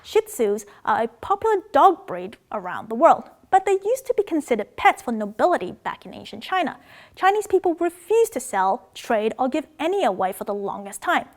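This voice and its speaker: A woman from Macau, raised in Australia, hosting a scripted educational video about words and languages in an upbeat, playful way. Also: normal speed. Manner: upbeat, playful, with excited jumps in pitch.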